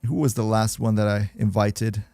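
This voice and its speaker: A man's voice: on the low side, steady tone, moderate pace, vocal fry, darkish tone, dry recording, clean studio sound. A young German man with a German accent, hosting a casual gaming livestream, chatting with viewers and reading the chat between laughs. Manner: chatty, reads and answers chat, laughs often. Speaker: livestream host.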